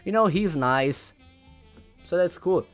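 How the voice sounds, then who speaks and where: Lively young man, Portuguese native speaker. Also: high voice, takes it slowly, soft background music. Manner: rambling, repeats words, reads chat aloud. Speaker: livestreamer. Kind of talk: casual livestream commentary.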